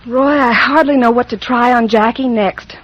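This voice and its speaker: A woman's voice: a little high, steady tone, normal speed, close to an everyday voice. A woman playing a concerned, patient clinic therapist in an old western radio drama, earnest and hesitant. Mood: worried. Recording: muffled vintage radio recording.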